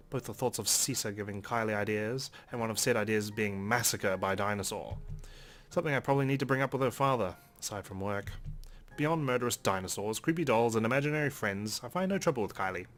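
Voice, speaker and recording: A man's voice: lowish voice, fairly bright tone. A man hosting a let's play, reading visual novel narration aloud expressively with wry asides, over faint game music.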